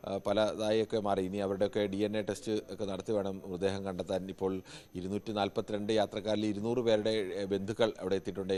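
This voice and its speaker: A man's voice: on the low side, a little mellow. A man giving unscripted live news analysis as a correspondent, explaining with frequent rephrasing.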